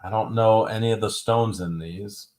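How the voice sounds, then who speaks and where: A man's voice: on the low side, fairly even intonation, normal speed, darkish tone. Wisecracking man calling an auction. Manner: wisecracking, repeats bids, drawls some phrases. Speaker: live auction host. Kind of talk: live-stream auction patter.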